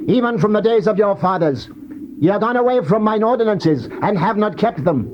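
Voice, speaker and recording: A stern, solemn divine judge declaims in a fairly high voice with sweeping, dramatic rises and falls. The delivery is classical staged scripture, on a muffled, clearly noisy old cassette. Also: male voice, expressive, a little fast. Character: stern God of Israel speaking judgment through his prophet.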